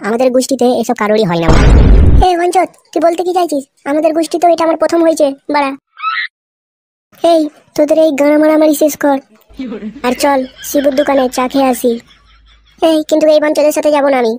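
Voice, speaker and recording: A man voicing a brash, quarrelsome cartoon buddy in slangy speech, with a pitched-up effect. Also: fast, dark tone, a little hiss or hum. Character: foul-mouthed, quarrelsome young male cartoon buddy. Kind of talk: comedy cartoon dialogue. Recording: music bed under the dialogue. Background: music.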